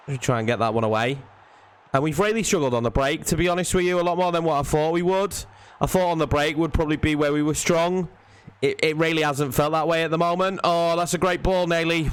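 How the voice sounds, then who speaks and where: A young man giving lively play-by-play commentary over his football video game, rising sharply at chances and goals. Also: a little high. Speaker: gaming YouTuber.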